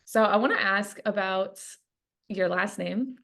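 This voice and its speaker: Gravelly-voiced woman hosting a podcast. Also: mid-range voice, unhurried, a bit bright. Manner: earnest, encouraging, asks long questions. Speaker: podcast host, coach.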